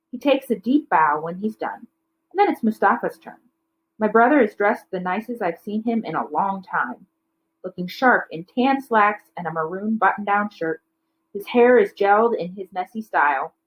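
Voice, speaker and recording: A woman's voice: mid-range voice, a little hiss or hum, low-quality recording. A woman narrating a children's novel aloud like a teacher, occasionally stumbling over words and correcting herself. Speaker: teacher reading a book aloud.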